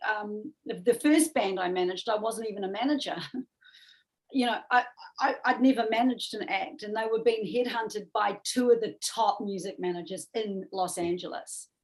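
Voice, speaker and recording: A woman's voice: mid-range voice, normal speed, low-quality recording. A middle-aged woman from New Zealand with a strong Kiwi accent, chatting earnestly as a music manager in an interview. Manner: chatty, earnest, repeats words and adds "right?". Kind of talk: casual interview chat.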